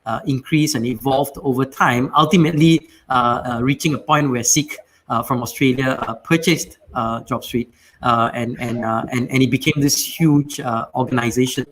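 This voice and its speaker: A man's voice: mid-range voice, fairly even intonation, moderate pace, a bit bright, a little hiss or hum, rough recording. Guy hosting a business show, rambly questions, lots of uh and you know. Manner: rambling, filler-heavy questioning. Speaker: podcast host. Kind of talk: interview questions.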